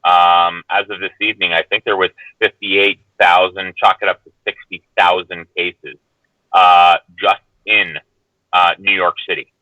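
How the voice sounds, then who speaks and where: Low, bright-voiced man, halting delivery. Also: little pitch movement, no echo, highs cut off. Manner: halting, hesitant, qualifies his statements. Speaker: guest commentator. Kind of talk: casual, unscripted discussion.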